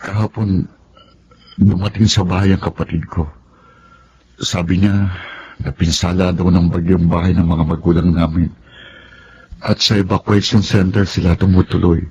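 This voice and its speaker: An actor playing a hurt, resentful grown son and older brother in a Tagalog radio drama. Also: male voice, low-pitched, flat delivery, normal speed, pauses often, a little mellow, breathy edge, muffled recording. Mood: troubled.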